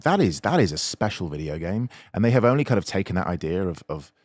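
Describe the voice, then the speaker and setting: A man from the United Kingdom with a low voice gives opinions as a podcast co-host. He talks casually but emphatically, repeating words for stress, in a dry recording with little room sound. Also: no echo.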